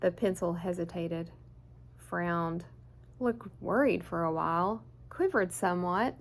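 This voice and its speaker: A woman reading a children's picture book aloud in an expressive, deliberate storyteller's way. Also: lowish voice. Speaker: children's story time host.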